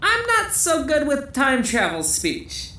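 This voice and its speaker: Sci-fi droid sidekick, female actor: evasive, pedantic, over-polite chatterbox, high register, echoey. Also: wildly swooping pitch, takes it slowly, pushed and bright, full character voice. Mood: rambling, sheepish. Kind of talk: sci-fi audio drama acting.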